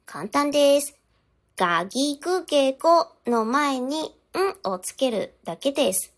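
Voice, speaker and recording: A woman language teacher giving a scripted lesson, politely addressing her viewers with clear step-by-step instruction. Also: high voice, expressive, unhurried. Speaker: Japanese teacher.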